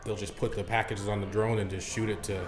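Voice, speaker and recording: A man co-hosting a podcast, chatty and jokey in a lively way. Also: on the low side, quick pace. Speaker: podcast co-host. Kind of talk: casual chat.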